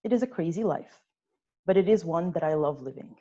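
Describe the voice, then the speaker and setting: A woman in her twenties delivers a prepared personal speech in an earnest storytelling manner, slipping in touches of humor. Her voice sits a little low and sounds mellow. The recording is dull and lo-fi. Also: lively intonation, a little fast. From born in the United States, grew up in Ukraine, Austria and Russia. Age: 20s. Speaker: club member giving an icebreaker speech.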